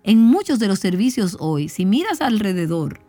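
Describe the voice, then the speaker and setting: A woman's voice: mid-range voice, big pitch swings, moderate pace, warm tone, soft background music. A woman speaking as a Bible teacher, giving a devotional talk in an earnest, exhorting manner.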